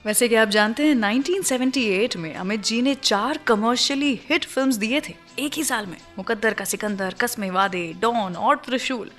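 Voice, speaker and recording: A woman's voice: a little high, a bit bright. Woman radio host over background music. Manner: addresses listeners directly with teasing questions. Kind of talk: conversational radio show presenting, telling film music trivia.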